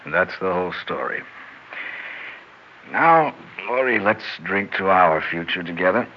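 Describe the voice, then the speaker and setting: An actor playing a suave, sardonic, tipsy husband and cold-blooded killer in a theatrical 1960s radio drama. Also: male voice, low voice, animated, slight background noise. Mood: cool, confident. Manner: theatrical, mock-tender, deliberate. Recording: muffled vintage radio recording with music.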